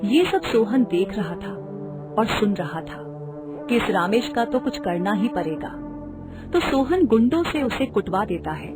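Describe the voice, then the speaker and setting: A woman's voice: medium pitch, big pitch swings, measured pace. A woman narrating a scripted moral story for a cartoon. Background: music.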